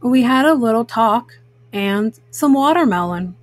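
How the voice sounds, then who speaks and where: A woman's voice: fairly high pitch, lively intonation, unhurried, no echo. A woman voicing a young boy bear cub, earnest, spirited and straightforward, in a children's storybook. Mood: earnest, polite.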